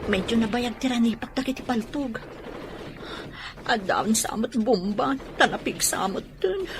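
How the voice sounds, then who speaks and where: A woman's voice: a little high, lively intonation, measured pace, a little hiss or hum. A woman with an Ilocano accent playing a worried, motherly older woman sheltering from war in a radio drama. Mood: concerned. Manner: fretting, exclamatory.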